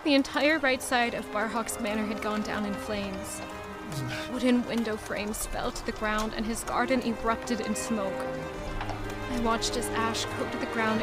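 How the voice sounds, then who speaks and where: Actress as high-voiced, haunted young woman narrator. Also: lightly acted. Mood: somber. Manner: dramatic, intense storytelling delivery. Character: young woman traveler, haunted, bitter, quietly vengeful narrator. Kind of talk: first-person audio drama narration.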